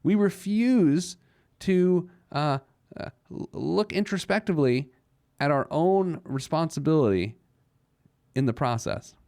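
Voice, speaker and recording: A man's voice: on the high side, expressive, measured pace, vocal fry, a little mellow, dry recording, clean studio sound. A man hosting a personal finance radio show off the cuff, emphatic and often answering his own rhetorical questions. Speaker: radio host, financial planner.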